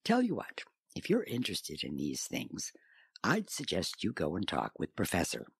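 A woman's voice: rumbling low, huge pitch swings, moderate pace, creaky, breathy edge, no echo, studio quality, heavily acted. An actress playing a wry, folksy small-town mayor telling her stories in an audio drama interview. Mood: teasing, folksy. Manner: folksy, wry, chuckling storyteller.

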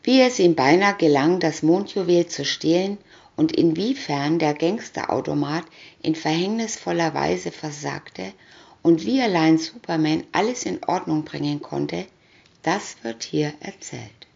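Female storybook narrator: low-pitched, deliberate with pauses between captions, muffled lo-fi recording. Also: expressive, vocal fry, darkish tone. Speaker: reader of picture-story booklets. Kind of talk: scripted narration of a children's comic story. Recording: dull lo-fi recording.

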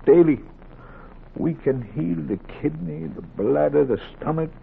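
Gruff, gravelly old-school doctor. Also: male voice, a little high, lively intonation, unhurried, a little hiss or hum, exaggerated voice. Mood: sorrowful, earnest. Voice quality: gravelly, husky. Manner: gruff, volatile, weary. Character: gruff, grieving older Southern doctor and surgery teacher. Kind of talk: 1940s radio acting. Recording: tinny old 1940s radio recording.

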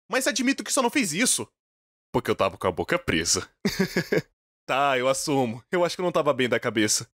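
A Brazilian man narrates a comedic personal story. His voice sits high for a man and swings widely in pitch. He talks in an informal, animated first-person style. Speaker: voice-over dubber of an animated storytime video.

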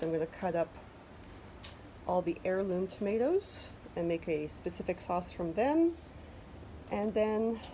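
A woman's voice: lowish voice, warm tone. Chatty Canadian woman on a noisy deck. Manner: chatty, self-deprecating, loose fillers. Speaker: vlogger. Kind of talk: casual garden vlog chat. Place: covered wooden deck outdoors.

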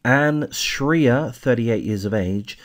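A man's voice: medium pitch, slow. A man hosting a true crime channel, casually reading a news article aloud with lots of hesitant fillers and restarts, and giving blunt opinions.

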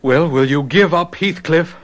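An actor playing a genteel, wounded young husband in a radio drama, proper, indignant and fretful. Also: male voice, on the high side, unhurried, natural voice. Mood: distressed.

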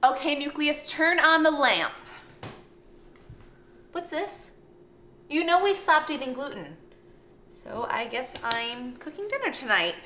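A woman's voice: high-pitched, lively intonation, slow, pauses often, sharp tone, exaggerated voice. A woman in her thirties playing a wry, matter-of-fact wife and mother in a commercial. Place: home kitchen, indoors. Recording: echoey kitchen, faint background noise.

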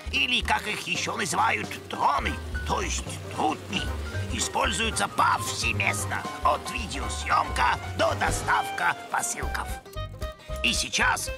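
Cartoon inventor penguin, German accent, halting grammar, animated pitch, clear music behind. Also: male voice, exaggerated voice. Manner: halting, foreign-sounding grammar. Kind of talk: cartoon voice acting.

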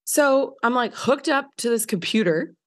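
A woman in her thirties, hoarse from a recent illness, telling a story on a podcast in a casual, filler-heavy way, laughing often. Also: on the high side, lively intonation, moderate pace. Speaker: podcast co-host. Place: home living room by windows. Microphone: large studio mic on video call.

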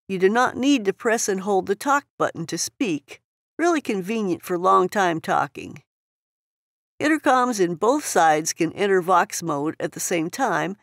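A woman's voice: fairly low pitch, no room sound, clean studio sound. A woman reading scripted instructional narration for a product tutorial.

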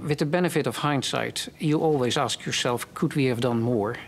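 An older man giving formal, measured interview answers as an official, choosing his phrases carefully. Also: medium pitch, gravelly. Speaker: aviation official being interviewed. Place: large indoor council chamber.

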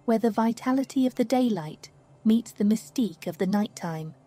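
A woman reading a scripted guided sleep meditation in a slow, soothing, hushed manner. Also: on the high side, expressive. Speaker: meditation narrator. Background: music.

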